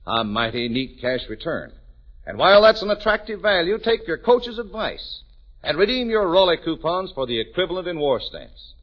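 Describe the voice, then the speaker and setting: A man's voice: on the high side, big pitch swings, normal speed. A man playing a blustering football coach giving an emphatic pep talk in a 1940s radio comedy advertisement. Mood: rousing. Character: blustering football coach hectoring his team, pitching cigarettes. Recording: muffled husky-sounding 1940s radio recording.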